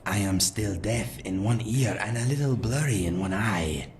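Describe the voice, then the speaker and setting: A man playing a well-travelled adventurer in a video game, with a mixed foreign accent and measured, formal phrasing. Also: fairly low pitch, a little mellow, slight background noise, close to an everyday voice. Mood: dry, measured. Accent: eclectic, mixed foreign. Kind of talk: video game character dialogue.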